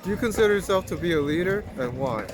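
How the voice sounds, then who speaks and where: A man's voice: sits high, lively intonation, fast talker. A man interviewing someone in a casual, conversational way, asking simple questions. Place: outdoors in the city. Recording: noisy outdoor city street with traffic.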